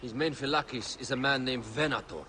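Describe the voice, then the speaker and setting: An urgent informant from an ancient-world video game, voiced by a male actor. His voice is bright and forceful, at a middle pitch, pressing the point. Faint outdoor noise sits behind. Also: moderate pace, close to an everyday voice.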